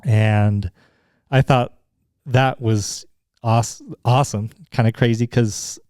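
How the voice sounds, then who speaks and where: A young man from Utah, a guest in a casual chat, easygoing and agreeable, often pausing with fillers. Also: medium pitch, fairly even intonation, a little mellow, dry recording, clean studio sound.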